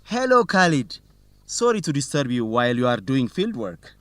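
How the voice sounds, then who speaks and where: A man's voice: medium pitch, big pitch swings, moderate pace, fairly bright tone, dry recording. A man playing an earnest, confident young student reporter in an educational radio drama. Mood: friendly.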